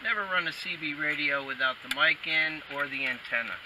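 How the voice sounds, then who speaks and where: A man's voice: fairly high pitch, a little fast. A man giving a casual how-to while he works, talking in short, folksy remarks to the camera. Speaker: installer demonstrating a how-to. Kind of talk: casual hands-on tutorial talk. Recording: distant mic, loud hiss and vehicle noise.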